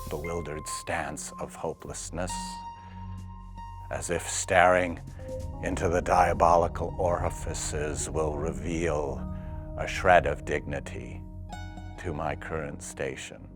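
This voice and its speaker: A man giving a measured, grave spoken-word recitation. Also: rumbling low, steady tone. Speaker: performer reading writing aloud. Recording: music behind the voice.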